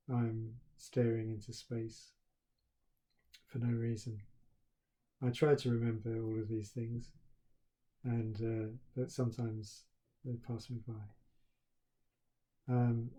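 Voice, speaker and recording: A middle-aged man with a soft voice, a university professor speaking haltingly to his students at the start of term, with many pauses and restarts. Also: mid-range voice, rough recording.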